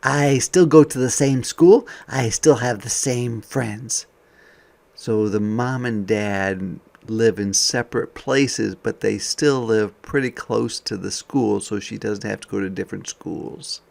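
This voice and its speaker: A man reading a picture book aloud to young children as a teacher, expressive, with softer conversational asides. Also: fairly low pitch, slow pace, vocal fry.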